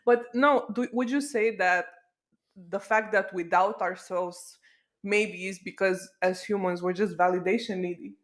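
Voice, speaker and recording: Animated Romanian-born woman podcast host. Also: mid-range voice, measured pace. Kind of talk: casual podcast conversation.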